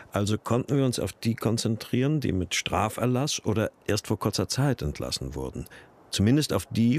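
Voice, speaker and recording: An actor playing a patient, matter-of-fact police detective in a radio crime drama, explaining things in a measured, businesslike way. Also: male voice, on the low side, darkish tone.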